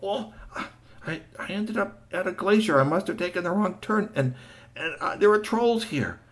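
A man's voice: high voice, expressive, moderate pace, voice pushed up. A man voicing a panicky young male traveler who stammers out pleas for help in a storybook tale. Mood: panicked.

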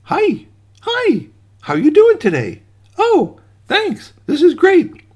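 A man's voice: extremely high pitch, wildly swooping pitch, slow, dull audio, pitched above the performer's own voice, heavily acted. A man voicing a cheeky, gleeful little gingerbread boy in a sing-song children's story. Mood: cheerful. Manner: sing-song taunting and friendly greetings.

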